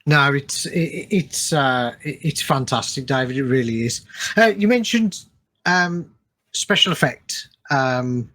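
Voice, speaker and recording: A man hosting a casual podcast interview, speaking appreciatively in short, halting phrases. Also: mid-range voice, expressive, a bit bright.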